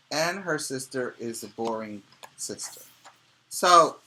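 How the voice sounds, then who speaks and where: A man's voice: medium pitch, bright, forceful tone. A man who is a preschool teacher, reading a storybook aloud expressively to young children and explaining it with questions and emphasized key words.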